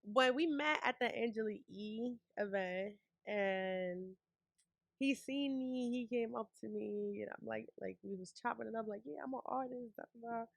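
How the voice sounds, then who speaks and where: A woman's voice: on the high side, normal speed, vocal fry, no room sound. Young woman from puerto rico, chill slangy talk, dry close mic. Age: young adult. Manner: laid-back, slangy, lots of fillers, soft-spoken. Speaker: rapper guest. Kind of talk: casual interview chat.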